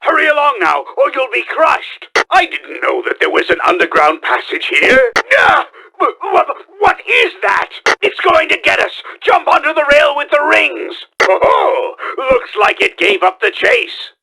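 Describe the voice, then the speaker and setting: A pompous mad scientist villain for a video game. The voice is high for a man and bright, with a gravelly edge. He blusters out orders like a scheming showman, theatrical and exclamatory. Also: sing-song, a little slow, exaggerated voice, rough recording. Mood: alarmed. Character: bombastic, scheming middle-aged male mad scientist villain.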